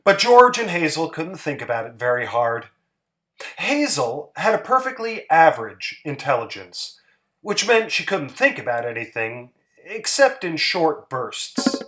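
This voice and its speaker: A man's voice: a little high, measured pace. A man giving a dramatic, theatrical reading of a literary short story. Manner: expressive, theatrical. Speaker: story reader.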